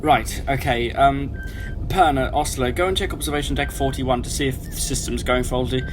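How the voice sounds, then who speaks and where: A man's voice: medium pitch, moderate pace, music in the background, lightly acted, low-quality recording. A man playing a genial but easily exasperated starship captain in an audio drama, with a hearty, formal manner. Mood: exasperated, businesslike. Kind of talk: scripted fan audio drama acting.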